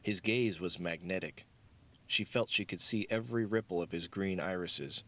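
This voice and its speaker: A man's voice: low-pitched, moderate pace, a bit bright. A man reading scripted fiction as an audiobook narrator.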